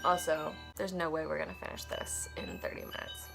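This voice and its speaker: A woman's voice: deep voice, big pitch swings, brisk, gravelly, over music. A young woman in her twenties, congested from allergies, chatting casually while unboxing an oracle deck in her bedroom and thinking aloud with wandering asides. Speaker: tarot and oracle deck reviewer. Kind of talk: casual unboxing chat with read-aloud passages. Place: cluttered bedroom, indoors.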